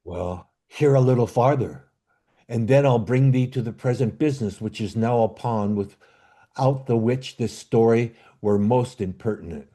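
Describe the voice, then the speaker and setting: A man playing a bitter, commanding exiled duke and father in an amateur Shakespeare reading, with a declamatory, halting delivery. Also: mid-range voice, warm tone, lightly acted. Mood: earnest, tender. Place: online video call.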